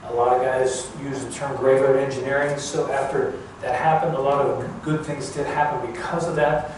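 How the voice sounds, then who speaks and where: An older man gives an informal talk in a big, very echoey room, picked up from far off with clear background noise. His delivery is plain-spoken and halting, at a middling pitch. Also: fairly even intonation. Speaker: guest speaker, former air traffic controller. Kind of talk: informal public talk recounting career. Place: large echoey museum room.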